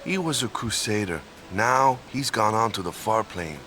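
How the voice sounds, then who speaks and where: A man's voice: fairly low pitch, expressive, moderate pace, bright, forceful tone, faint music behind. An actor playing a laid-back, unsure young man in a game, talking easily with trailing "yeah?" tags. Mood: wistful. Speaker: game character.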